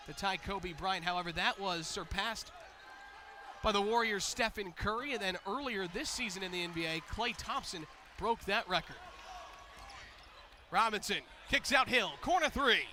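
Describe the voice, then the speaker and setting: An American man doing energetic live basketball play-by-play commentary, building to excited calls on big plays. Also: high-pitched, normal speed.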